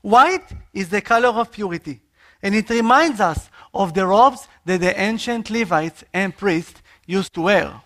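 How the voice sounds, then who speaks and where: A man from Israel with an Israeli accent, a missionary preaching a sermon in an earnest, expressive way. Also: sits high, measured pace.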